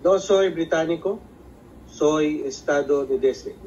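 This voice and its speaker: A man works through practice sentences slowly and a bit hesitantly, correcting his own slips. His voice is slightly high, heard over a video call with faint noise behind it. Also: a little mellow, highs cut off. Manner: hesitant, careful, self-correcting. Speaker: student. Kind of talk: practicing phrases in a class.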